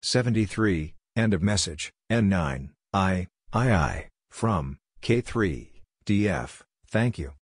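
Male instructor, low register, unhurried and deliberate, reading short scripted drill phrases in a dry, close recording. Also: animated, husky, no room sound. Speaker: Morse code practice instructor reading transcripts aloud. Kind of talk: scripted practice readout in short phrases, letter by letter.

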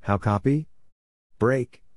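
A man's voice: medium pitch, slow pace, darkish tone, a little hiss or hum. A man reading scripted radio practice messages in short, clipped, segmented phrases, as a narrator. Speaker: narrator of Morse code practice.

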